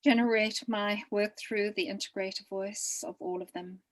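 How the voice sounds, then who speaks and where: A woman from introducing herself softly and hesitantly in an online meeting. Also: mid-range voice, steady tone, normal speed. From South Africa.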